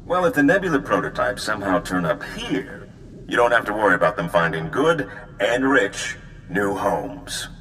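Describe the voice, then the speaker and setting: An actor playing a wry, official male Republic agent in a video game, brisk and knowing. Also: lowish voice, very animated, a bit bright, in a large hall, a little hiss or hum. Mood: amused, brisk. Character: suave, wry Republic agent man on hologram. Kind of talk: video game dialogue acting.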